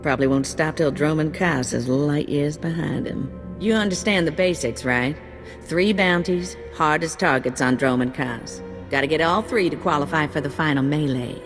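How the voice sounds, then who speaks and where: A woman's voice: deep voice, normal speed, lightly acted. A woman playing a folksy, wry bounty handler in a video game, matter-of-fact and slangy. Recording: reverberant, with background music.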